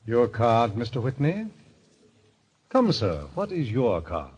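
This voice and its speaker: An actor playing the polished, coolly menacing president of a deadly card club, formal and courteous, in a golden age radio drama. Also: male voice, medium pitch, huge pitch swings, takes it slowly, a little mellow, breathy edge, heavily acted. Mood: pressing. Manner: formal, courteous, commanding delivery. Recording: dull old radio recording.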